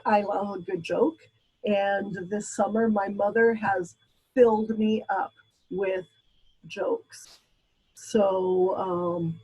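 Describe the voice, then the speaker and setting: A woman's voice: mid-range voice, a little mellow, breathy edge, low-quality recording. A woman from Brazil teaching a class in a casual, chatty lecture style, with frequent ums and asides. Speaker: instructor.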